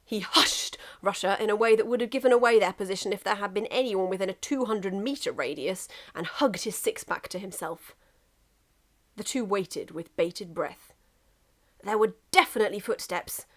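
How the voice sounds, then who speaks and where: A woman reading a scripted story aloud as an expressive narrator. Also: medium pitch. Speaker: narrator, fan fiction reader.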